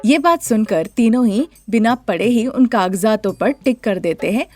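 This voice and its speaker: A woman's voice: a little high, lively intonation, normal speed, darkish tone, dry recording, soft background music. A woman narrating a scripted moral story as the storyteller.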